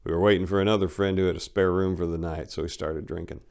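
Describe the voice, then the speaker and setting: A man with a lowish voice gives a dramatic reading of a first-person memoir. He tells it in a colloquial way, brash and wry throughout. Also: steady tone, fast talker, gravelly, darkish tone. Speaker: narrator.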